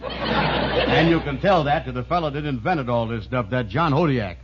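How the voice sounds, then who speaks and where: An actor playing a gullible, slow-witted middle-aged pal in an old radio sitcom, with simple, puzzled, deadpan retorts. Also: male voice, medium pitch, close to an everyday voice. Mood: skeptical. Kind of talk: 1940s-50s radio sitcom acting. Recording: very noisy, muffled old radio recording.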